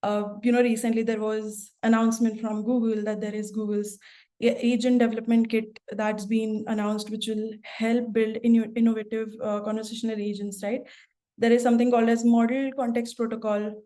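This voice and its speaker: Soft-toned woman hosting a webinar. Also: on the high side, flat delivery, a little fast. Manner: hesitant, many fillers and restarts. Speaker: webinar host, product manager. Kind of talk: webinar moderation.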